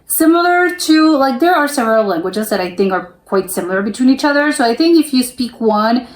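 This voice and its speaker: Young american girl rambling through a reaction, lots of "like", kinda high voice. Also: animated, brisk. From United States. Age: young adult. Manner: rambling, with many "like" fillers and restarts. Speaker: reaction video host. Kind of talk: casual reaction commentary. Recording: roomy echo.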